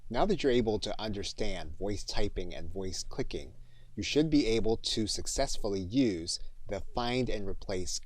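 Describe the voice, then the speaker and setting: Guy doing a software how-to, lowish voice, super dry close mic, takes it step by step. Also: no room sound. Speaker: software tutorial instructor. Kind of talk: instructional screencast narration.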